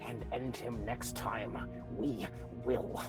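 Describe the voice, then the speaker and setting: An earnest monkey-folk warrior monk acted out by a man at a gaming table speaks at a middling pitch. His phrasing is halting and solemn, full of resolve, over clearly audible music. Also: fairly even intonation, lightly acted. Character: Zeal, male vanaran monk, earnest and adrenaline-flushed. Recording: music playing behind the voice.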